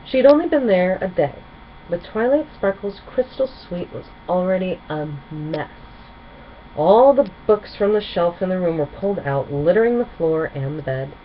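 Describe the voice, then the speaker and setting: Lower-pitched woman reading a children's story. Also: lively intonation, normal speed. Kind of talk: expressive children's storybook narration. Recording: muffled home recording with clear noise.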